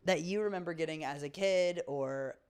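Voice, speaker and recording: A woman's voice: sits low, normal speed, a little mellow, clear, resonant tone. A woman co-hosting a podcast with casual, dry, teasing banter. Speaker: podcast co-host, camp director. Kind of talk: casual chat.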